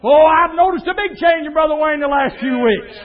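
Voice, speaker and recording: A man preaching a fiery sermon, dropping to a low murmur and then rising to a shout. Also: moderate pace. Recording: tinny phone-like sound.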